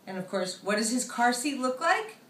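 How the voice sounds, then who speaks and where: A woman, an author reading her picture book aloud in an animated storytelling style. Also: a little high, moderate pace, slight background noise. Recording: echoey room, distant microphone.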